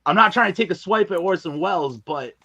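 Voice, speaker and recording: A man's voice: sits high, expressive, fairly quick, highs cut off, crowd noise. A man talking casually and candidly as a podcast interview guest, with emphatic, colloquial phrasing. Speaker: guest, audio drama writer and filmmaker.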